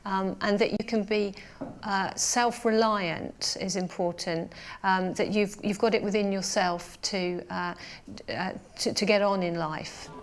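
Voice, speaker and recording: A woman's voice: medium pitch, fairly even intonation, a little slow. A woman sociologist explaining research in an interview, with occasional hesitant fillers. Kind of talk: expert interview.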